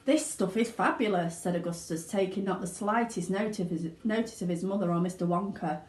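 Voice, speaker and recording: A woman reading a children's story aloud with dramatic, expressive narration. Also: lowish voice, fairly quick. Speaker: storybook reader, school teaching assistant. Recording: roomy indoor echo.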